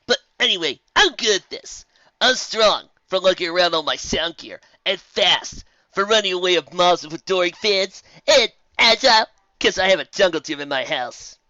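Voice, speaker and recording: A reader voicing a brash, boastful young mare DJ who talks loudly in slang. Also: mid-range voice, expressive, moderate pace, dry recording, dull audio, voice pushed up. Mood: cocky.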